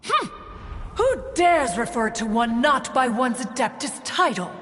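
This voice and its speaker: A woman's voice: a little high, big pitch swings, unhurried, fairly bright tone. A woman voicing a haughty, imperious ancient bird immortal in a video game, speaking in a formal manner. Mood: indignant. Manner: haughty, formal, refers to herself as "one". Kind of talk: video game character acting. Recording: long echoing reverb, music behind.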